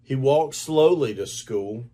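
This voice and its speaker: Slow-paced man reading a children's story. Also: medium pitch. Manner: deliberate, expressive storytelling. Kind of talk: scripted story narration read aloud to children.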